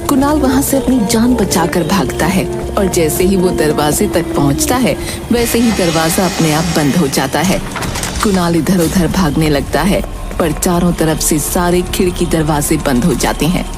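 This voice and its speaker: A woman narrates a dramatic scripted story. Her voice sits fairly low, and background music plays clearly beneath it. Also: lively intonation, moderate pace.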